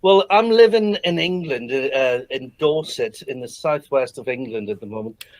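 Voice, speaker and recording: A man's voice: medium pitch, lively intonation, moderate pace. Irish-born musician guy, mid voice, easygoing, bit hesitant, sounds like a video call. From Ireland. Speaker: musician guest. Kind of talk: interview answers.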